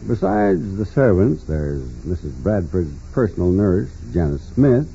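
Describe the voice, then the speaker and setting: An actor playing a dignified, formal judge in a 1940s radio drama, speaking in a measured, courteous and hesitant way. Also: male voice, low voice, sing-song, gravelly, mellow, full character voice. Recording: muffled, noisy 1940s radio recording.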